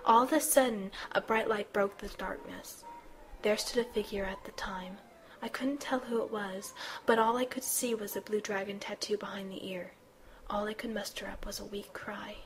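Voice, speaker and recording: A woman's voice: medium pitch, steady tone, moderate pace, slight background noise, natural voice, poor audio. A woman playing an anxious teenage schoolgirl who narrates and acts in an amateur audio drama, earnest and dramatic. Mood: uneasy narrating.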